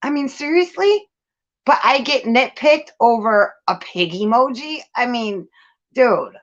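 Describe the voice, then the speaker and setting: Woman, high pitch, candid venting, blunt, sweary, emphatic repetition, muffled lo-fi sound. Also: lively intonation, measured pace. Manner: blunt, profane, emphatic, repeats phrases for stress. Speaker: host of a live commentary show.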